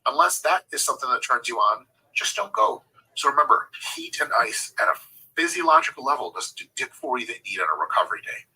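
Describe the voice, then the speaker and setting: A man with a very bright, husky voice that swings up and down as he talks fitness. He is a foul-mouthed, irreverent coach who wanders into jokey digressions and walks through lifting technique step by step. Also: medium pitch, big pitch swings, quick pace, poor audio. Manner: profane, irreverent, joking digressions; demonstrates lifting technique while talking. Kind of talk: casual advice monologue.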